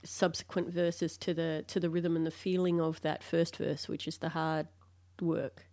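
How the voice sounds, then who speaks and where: A woman's voice: deep voice, level tone, a little slow, mellow, dry recording, studio quality. An Australian woman co-hosting a casual interview, easygoing and anecdotal. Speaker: co-host.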